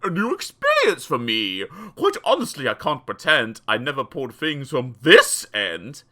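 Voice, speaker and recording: A man's voice: medium pitch, wildly swooping pitch, normal speed, bright, forceful tone, pitched below the performer's own voice. A woman voicing a gleeful, grasping male dentist with a gloating, emphatic manner in a storybook reading.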